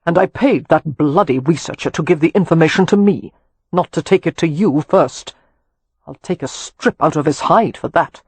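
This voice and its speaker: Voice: medium pitch, very animated, moderate pace, dry recording. A man voicing a casual, wry younger brother who is polite yet defiant, in audiobook dialogue. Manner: soft-spoken, casual, wry.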